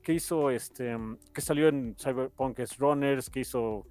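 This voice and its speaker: A man's voice: medium pitch, a little slow, a little mellow. A man with a Mexican accent hosting a podcast, chatting casually in an enthusiastic, rambling way. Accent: Mexican Spanish. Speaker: podcast host.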